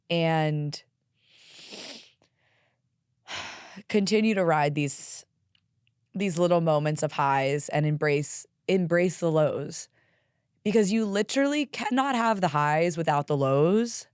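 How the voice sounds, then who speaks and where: Young woman podcast host, hoarse and raspy, candid and profane, lower voice, dry close sound. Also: dry recording. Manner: candid, profane, animated with laughs. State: hoarse from karaoke singing. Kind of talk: solo podcast monologue.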